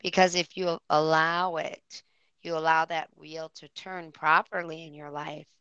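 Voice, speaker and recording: A woman with a hoarse voice giving a tarot reading on air, rambling and searching for words. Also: sits low, little pitch movement, a little slow, a bit bright, no room sound, muffled recording. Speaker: psychic reader.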